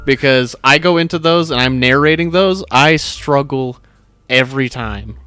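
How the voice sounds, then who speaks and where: A man's voice: mid-range voice, moderate pace, pushed and bright, clean tone, no room sound, faint music behind. A man chatting casually as a co-host in a group conversation. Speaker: channel co-host, narrator and editor. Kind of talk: casual chat.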